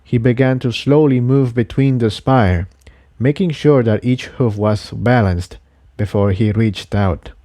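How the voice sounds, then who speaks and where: Male storyteller for adventure fiction: unhurried, relaxed, measured delivery, close dry sound. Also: mid-range voice, dry recording. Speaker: audiobook reader of fan fiction. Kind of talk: scripted story narration.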